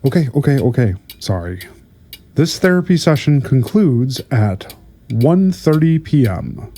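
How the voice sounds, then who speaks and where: A man playing a patient older therapist in an audio drama, hesitant and stammering as he gently probes. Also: mid-range voice, big pitch swings, a little slow. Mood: apologetic. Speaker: prison therapist. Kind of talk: audio drama acting, conversational.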